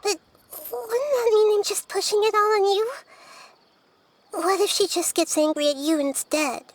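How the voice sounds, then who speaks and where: High-voiced, gentle little girl deity. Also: a little hiss or hum. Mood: hesitant. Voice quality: soft, girlish voice. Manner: measured, formal, thoughtful phrasing. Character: gentle young girl deity, wise, curious, thoughtful. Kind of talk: English video game voice acting.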